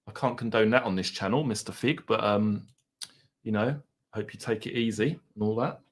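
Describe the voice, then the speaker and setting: A man's voice: fairly low pitch, moderate pace. A man with an Essex accent hosting a live stream, rambling casually and chatting with his viewers. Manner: mild swearing, laid-back banter.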